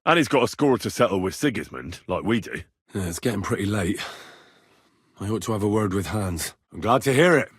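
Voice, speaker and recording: Young male medieval adventurer: husky, dry close sound, earnest and blunt, streetwise, colloquial game dialogue. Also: fairly low pitch, sing-song, a little fast, no room sound. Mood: earnest, conversational. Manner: colloquial British phrasing, plain-spoken. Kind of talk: video game dialogue voice acting.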